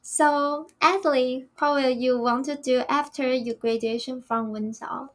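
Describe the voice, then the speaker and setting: High-voiced young Taiwanese woman. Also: steady tone, a little slow. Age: young adult. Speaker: student podcast co-host. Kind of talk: reading prepared notes.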